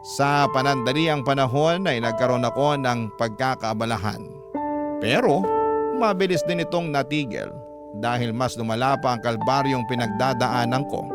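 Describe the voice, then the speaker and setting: Steady male storyteller over loud music. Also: mid-range voice, moderate pace. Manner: steady, storytelling, addressing the host directly. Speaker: narrator. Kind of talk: dramatic first-person radio narration.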